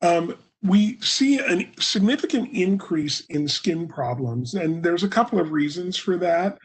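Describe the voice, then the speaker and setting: A hoarse man giving a webinar lecture, his voice sitting a bit high for a man and sounding husky and rough. He explains things at a measured pace, with frequent "uh" and "um" fillers as he goes. He speaks into a computer microphone. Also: expressive. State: hoarse, coughing. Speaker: presenter.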